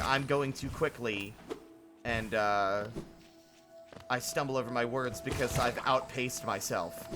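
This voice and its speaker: A man chatting casually on a game stream, conversational and thinking aloud as he plays. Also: medium pitch. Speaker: live streamer who voices game dialogue. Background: game music.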